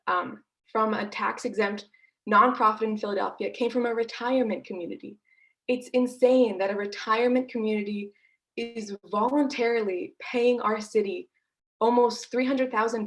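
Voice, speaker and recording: A woman presenting as an activist, speaking emphatically and with indignation as she makes her case. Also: fairly high pitch. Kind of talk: advocacy presentation.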